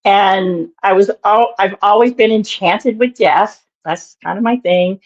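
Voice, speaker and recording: This woman in her sixties has a naturally raspy voice, roughened further by allergies that keep her clearing her throat. She speaks at a middle pitch and meanders, pausing and restarting as she recalls things. The recording sounds dull and lo-fi. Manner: meandering, halting, with many fillers and restarts. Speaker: guest, minister and author. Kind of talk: reflective interview storytelling.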